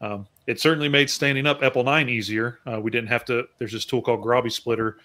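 A man co-presenting over a video call, explaining technical points in a measured way and adding follow-up remarks. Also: medium pitch, steady tone, pushed and bright.

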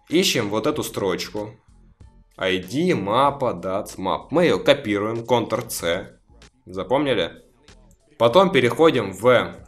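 A man casually talking viewers step by step through installing a game mod, a little hoarse from a cough. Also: on the low side. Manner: chatty, talks viewers through each step. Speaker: gaming video creator. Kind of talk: casual screen-recorded mod tutorial.

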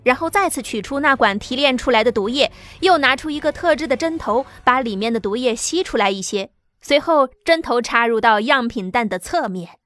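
A woman narrates a science fiction audiobook in a steady, dramatic read. Her voice sits fairly high. Soft music plays quietly behind her. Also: brisk. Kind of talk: scripted narration.

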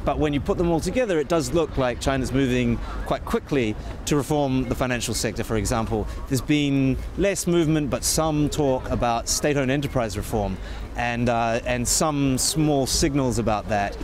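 A man's voice: mid-range voice, normal speed, hardly any pauses, a little mellow. A man in his thirties, a news bureau chief, explaining events in an on-location interview outdoors in a large public square, thoughtful and full of restarts. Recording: outdoor noise behind the voice.

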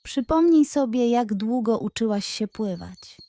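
Female seagull mentor, played by a woman, children's story. High voice, patient, kindly, encouraging, dry studio sound. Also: expressive, measured pace, dark tone, no room sound. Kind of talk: children's bedtime audio story.

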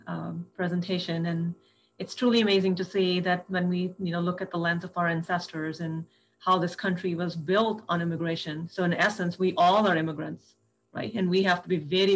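A woman's voice: on the low side, a little hiss or hum, rough recording. A woman hosting a webinar on a video call, effusive, often adding "right?". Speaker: webinar host. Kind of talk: hosting remarks.

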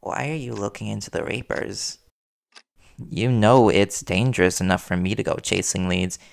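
Worried reporter boyfriend played by a man, audio roleplay; creaky, conversational, earnest and gently confrontational. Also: on the low side, moderate pace. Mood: concerned, disappointed.

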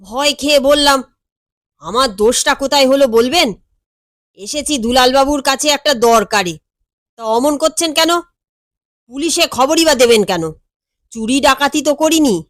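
An excitable male underling in a storybook reading, acted out by a woman. The voice sits raised, full of deferential questions and nervous exclamations, timid yet very nosy. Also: sits high, moderate pace, pauses often, no echo, clean studio sound, voice pushed up, close to an everyday voice. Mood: defensive.

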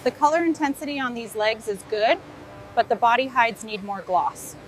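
A woman's voice: a little high, expressive, normal speed, slight background noise, low-quality recording. A woman inspecting work in scripted dialogue, matter-of-fact in her manner.

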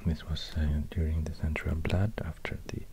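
A man with a Swedish accent, a native Swedish speaker, speaking soft ASMR narration in a halting, musing, breathy near-whisper. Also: extremely low pitch, flat delivery. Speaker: ASMR video creator. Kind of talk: soft-spoken ASMR narration while drawing. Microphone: close binaural microphone. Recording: audible noise, soft clicks.

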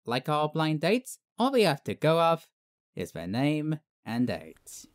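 A woman's voice: sits low, big pitch swings, slow. A woman narrating a dating show voice-over with a playful teasing delivery. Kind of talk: scripted voice-over.

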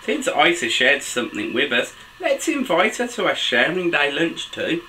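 A man in his thirties reading a picture book aloud to children in an expressive, sing-song, enthusiastic way. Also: a little high, normal speed, slight background noise, rough recording. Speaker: dad reading children's books for a video channel. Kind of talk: animated storybook read-aloud for children. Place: small bedroom with a bed and soft toys.

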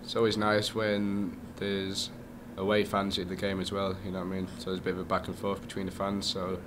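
A man's voice: sits low, monotone, fairly quick, background noise. A young man, a player, answering at a post-game press conference in a casual, plain, offhand way. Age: young adult. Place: small press room. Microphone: desk mic on stand.

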